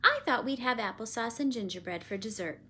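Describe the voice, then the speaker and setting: A woman's voice: fairly high pitch, lively intonation, a little fast, bright, forceful tone, slightly rough, full character voice. A woman voicing a kindly, cheerful countrywoman in a children's story, chatty and reassuring. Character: kindly, cheerful countrywoman Missus Piggle Wiggle.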